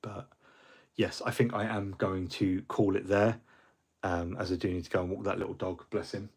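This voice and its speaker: A man's voice: low-pitched, level tone, normal speed, gravelly, darkish tone, breathy edge. A middle-aged man, hosting a hobby livestream in a rambling, friendly way, full of ums and restarts, a little fluey. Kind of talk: casual livestream chat.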